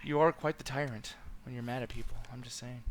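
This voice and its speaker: A man's voice: medium pitch, fairly even intonation, fast, noisy background. A man casually chatting, cursing and laughing while streaming a horror game. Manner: profane, joking, laughs often. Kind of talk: casual gaming stream chat.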